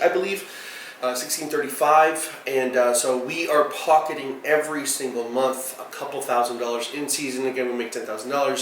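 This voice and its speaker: A man's voice: medium pitch, a bit bright. A man in his thirties talking casually to camera about his plans, rambling with many fillers and restarts. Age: 30s. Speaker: real estate vlogger. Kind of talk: casual direct-to-camera talk. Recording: echoey room, voice far from the mic, faint noise.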